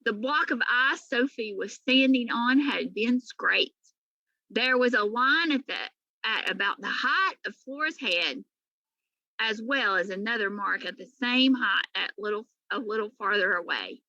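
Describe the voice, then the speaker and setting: A woman reading a children's story aloud in an animated, emphatic way, like a teacher to pupils. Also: a little high, measured pace, no room sound, dull audio.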